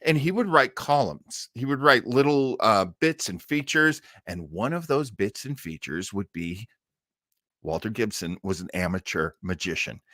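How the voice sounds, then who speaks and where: A man's voice: lowish voice, expressive, normal speed, fairly bright tone, no room sound. Dry-sounding man hosting casually. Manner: rambling, hesitant phrasing. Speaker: host of an old-time radio listening show. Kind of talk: casual live chat and commentary.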